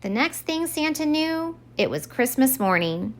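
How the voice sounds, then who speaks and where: Animated woman reading a children's story. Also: high voice, a little slow. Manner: expressive. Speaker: story time reader.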